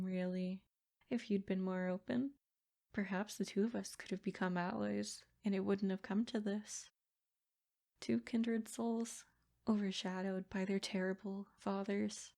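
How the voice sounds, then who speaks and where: A woman's voice: mid-range voice, steady tone, unhurried, vocal fry, warm tone. A woman voicing a sadistic, possessive princess in an ASMR roleplay, speaking in formal, haughty phrases with silky menace. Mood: haughty, musing. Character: sadistic, possessive yandere princess, smug captor. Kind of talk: ASMR roleplay, scripted character monologue.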